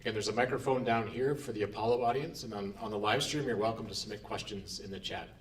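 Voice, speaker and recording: A man's voice: on the low side, quick pace, far from the mic. A man hosting a live film screening for a theatre audience, announcing in a friendly way. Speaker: event host.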